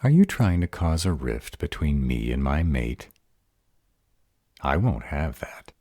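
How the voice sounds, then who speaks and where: A man playing an arrogant, protective vampire lover in a roleplay audio drama, husky and deliberate. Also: sing-song, warm tone, no room sound, studio quality, full character voice. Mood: haughty, irritated. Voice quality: husky, very deep voice. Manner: haughty, deliberate delivery.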